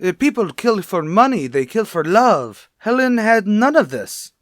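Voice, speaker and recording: Man playing a plaintive, high-voiced restaurant boss. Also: very animated, a little slow, no echo, studio quality. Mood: distressed. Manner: emphatic, plaintive, repeats himself. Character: mournful, emphatic restaurant boss questioned by police. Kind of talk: old-time radio crime drama acting.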